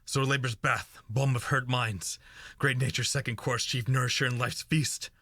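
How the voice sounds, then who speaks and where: A man's voice: mid-range voice, fairly even intonation, moderate pace, bright, forceful tone, no echo, clean studio sound. A man acting a guilt-racked, fearful murderous thane in a Shakespearean radio play, with a haunted, intense delivery.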